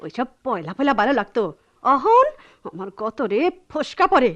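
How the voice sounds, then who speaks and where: An actress playing a fed-up, sharp-tongued poor village wife in a Bengali radio drama, scolding and stubborn, with a rural Bangladeshi accent. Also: female voice, high voice, huge pitch swings, normal speed, dull audio. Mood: bitter, defiant.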